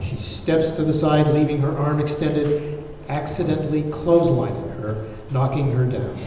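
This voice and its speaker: A man's voice: on the high side, steady tone, moderate pace, mellow. A man narrating the stage directions of a script at a table read. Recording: very echoey hall, far mic, faint noise.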